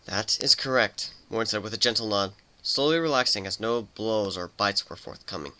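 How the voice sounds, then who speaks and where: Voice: medium pitch, lively intonation, brisk, pushed and bright, clean tone. A narrator reading a scripted story in a dramatic, storytelling style. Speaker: fan fiction narrator.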